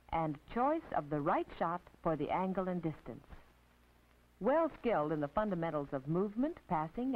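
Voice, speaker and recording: An American woman narrating an instructional film as a teacher, in a precise, didactic, scripted manner with measured phrasing. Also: medium pitch. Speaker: narrator and physical education instructor. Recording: tinny, band-limited 1950s film soundtrack.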